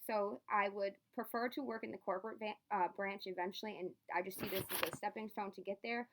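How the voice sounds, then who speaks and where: A young adult woman speaks as a candidate in a phone interview. Her voice sits at an average pitch for a woman. She answers in a polite, earnest way. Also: steady tone, a little fast. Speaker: job interview candidate, university student.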